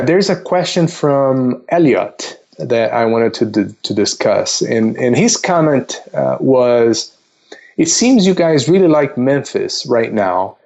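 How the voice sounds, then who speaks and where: A man with a medium-pitched voice talks as a guest expert on a podcast. He explains things step by step, stopping and repeating words as he goes. The sound is dull and lo-fi. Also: gravelly, darkish tone. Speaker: investment counselor, podcast guest.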